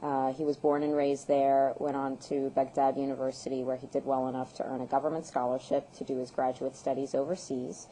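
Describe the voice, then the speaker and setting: A woman in her thirties from the United States, answering interview questions in an earnest, measured way with frequent ums and uhs. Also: a bass voice, little pitch movement, dark tone, a little hiss or hum, poor audio. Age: 30s. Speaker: guest, peace activist.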